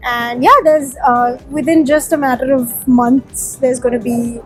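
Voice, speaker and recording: A woman's voice: sits high, steady tone, a little slow, faint music behind. A woman from Sikkim whose first language is Nepali, a musician answering interview questions in a chatty, candid way with plenty of fillers. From Sikkim, India.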